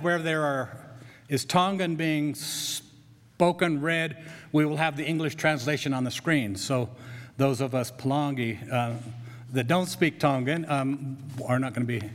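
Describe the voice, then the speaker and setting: An older man leading worship, giving church announcements in a chatty, self-deprecating way. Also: mid-range voice. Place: church sanctuary. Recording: long church hall reverb.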